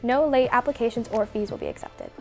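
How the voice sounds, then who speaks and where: A woman's voice: on the high side, huge pitch swings, fairly quick, creaky, soft background music. A teenage girl, a club vice president, reading scripted announcement details in a businesslike way. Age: teens.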